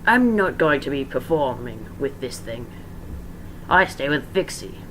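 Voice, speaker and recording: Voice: mid-range voice, sing-song, measured pace, a bit bright, slight background noise, rough recording. A performer playing an indignant, loyal male animatronic fox, protesting emphatically in an amateur story reading.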